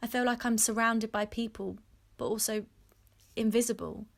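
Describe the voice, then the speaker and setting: Lonely woman played by an actress, earnest reflective video diary, voice a little high. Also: fairly even intonation, moderate pace, creaky. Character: lonely but hopeful woman living alone in lockdown. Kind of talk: acted reflective diary monologue.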